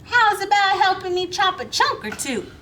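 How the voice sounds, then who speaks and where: A woman's voice: very high voice, sing-song, moderate pace, pushed and bright, slight background noise, voice pushed up, full character voice. A woman voicing a stubborn, easily miffed female armadillo cook in a storybook, with folksy, emphatic scolding. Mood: determined. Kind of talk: animated children's storybook read-aloud.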